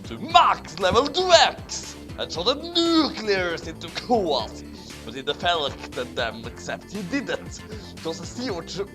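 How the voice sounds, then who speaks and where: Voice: mid-range voice, big pitch swings, takes it slowly, music in the background. A person reading a fanfic aloud with comedic drama and casual asides, stumbling over misspelled text. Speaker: fanfic reader.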